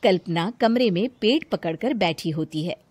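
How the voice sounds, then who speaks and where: A woman's voice: mid-range voice, animated, normal speed, a little mellow, husky, no room sound. A woman narrating a scripted cartoon story in a plain storytelling manner.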